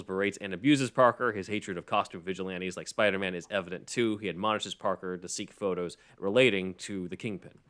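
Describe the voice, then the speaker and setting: A man's voice: sits low, a bit bright. A man hosting a podcast in a casual, rambling, conversational way. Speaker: podcast host. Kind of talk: casual podcast discussion of a script.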